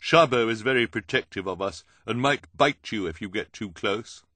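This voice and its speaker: A man's voice: on the low side, normal speed, sharp tone, dry recording, voice pushed up. A man voicing a polite, guarded teenage girl who speaks firmly and courteously in a storybook. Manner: courteous, firm.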